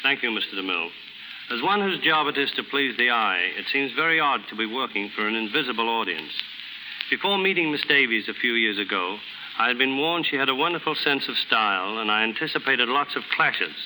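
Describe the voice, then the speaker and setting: A man's voice: mid-range voice, animated, a little fast. A man giving a formal, scripted guest talk on 1930s radio. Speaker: guest stylist. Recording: noisy 1930s radio recording.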